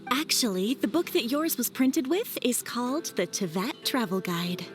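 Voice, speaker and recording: A woman's voice: fairly high pitch, very animated, normal speed, loud music behind the voice. A woman playing a polite, dutiful employee in a video game, courteous and businesslike. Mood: polite, apologetic.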